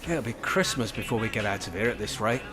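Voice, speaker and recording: A man's voice: fairly low pitch, lively intonation, quick pace, over music, close to an everyday voice. A man playing a grumpy, bossy, rebellious older man in a comic radio play, blunt and exasperated. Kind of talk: radio play comedy acting.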